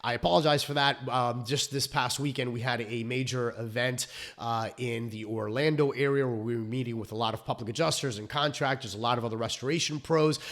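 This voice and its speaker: A hoarse man who founded a restoration company, explaining his trade in a chatty vlog with fillers and restarts. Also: mid-range voice, steady tone, brisk, fairly bright tone. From South Florida.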